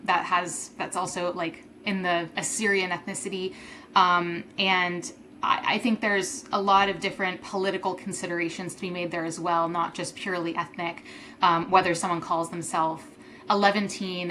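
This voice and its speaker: A woman's voice: fairly low pitch, pushed and bright, a little hiss or hum. A woman from Syria answering interview questions thoughtfully, with many ums and restarts; her first language is Arabic. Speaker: guest.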